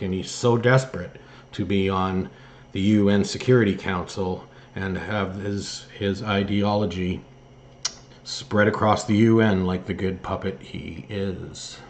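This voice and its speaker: A man giving political opinion commentary as host, speaking in a halting, hesitant way with phrases that trail off. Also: lowish voice, fairly even intonation, slight background noise. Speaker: host of an online political news commentary show. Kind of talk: political opinion commentary, partly read from articles.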